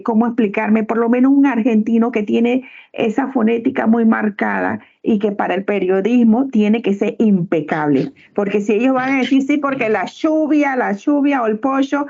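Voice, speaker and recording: Gravelly-voiced woman podcast host. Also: a little high, normal speed, few pauses, muffled recording. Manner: warm, effusive thanks. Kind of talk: interview hosting.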